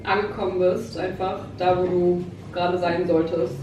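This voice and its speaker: A woman's voice: lowish voice, little pitch movement, normal speed, muffled recording. A woman of twenty from Berlin giving casual interview answers. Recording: very echoey, distant, noisy.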